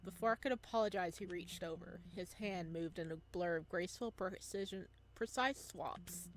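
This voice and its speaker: A woman game streamer doing playthrough commentary and reading narration aloud in an expressive, reactive way. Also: fairly low pitch, normal speed, a little hiss or hum, low-quality recording.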